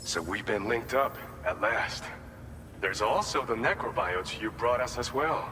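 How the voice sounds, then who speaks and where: A man's voice: medium pitch, animated, a little slow, natural voice. A man playing a grateful, encouraging outpost leader in a video game, speaking earnestly and supportively. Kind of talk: scripted game dialogue. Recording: long reverb, noisy ambience.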